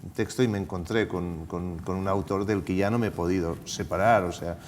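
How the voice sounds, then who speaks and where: Man, Catalan accent, theatre director, halting speech, reverberant hall. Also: deep voice, fairly even intonation, slight background noise. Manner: hesitant, many restarts and repeated words. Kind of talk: panel discussion. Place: auditorium at a round table.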